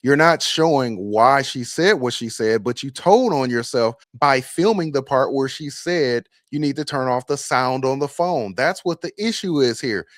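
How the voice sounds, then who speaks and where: Male host for reaction commentary: opinionated, emphatic bus-operator voice, medium pitch, very dry recording. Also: animated, moderate pace, hardly any pauses, dry recording.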